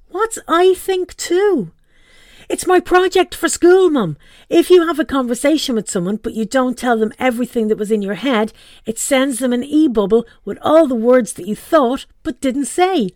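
Cheeky schoolboy for a read-aloud story, squealing and smug, pitched high, dry sound, played by a woman. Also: lively intonation, normal speed, a little mellow, dry recording. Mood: excited. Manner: squealing, gleeful.

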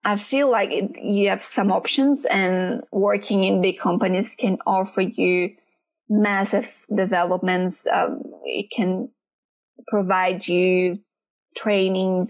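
A Brazilian woman speaking English as a second language, answering interview questions in a relaxed chat and often pausing to search for words. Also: medium pitch, rough recording. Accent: Brazilian Portuguese. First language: Portuguese.

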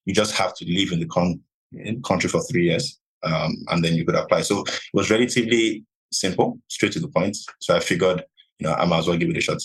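A young man from Nigeria, a podcast guest and real estate investor, answering in a measured conversational way with many "you know" fillers. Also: low-pitched, slightly rough, rough recording.

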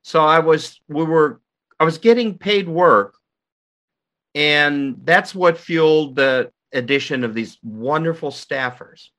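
Older american guy telling his story, bright voice, keeps restarting himself mid-thought. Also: a little high, slow. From United States. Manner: energetic, talks in bursts with frequent restarts. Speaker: guest, co-founder of an institute. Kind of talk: conversational recollection.

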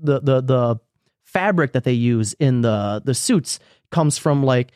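A man with a middle-pitched voice talks as a podcast co-host, recorded close and dry. He reviews in a loose, opinionated way, leaning on repeated phrases and tossing in casual swearing. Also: lively intonation, a little slow, a little mellow, no room sound.

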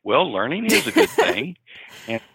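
A man, a clinical psychologist co-hosting a podcast, explaining in a measured way and repeating points. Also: mid-range voice, wildly swooping pitch, gravelly, fairly bright tone, breathy edge, dry recording.

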